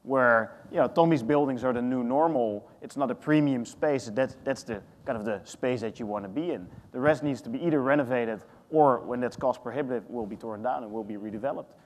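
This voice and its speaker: A Dutch man in his thirties on a conference panel, thinking aloud with lots of restarts. His voice sits at a middling pitch. The hall around him adds a long, ringing reverb. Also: a little fast, hardly any pauses. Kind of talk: panel discussion.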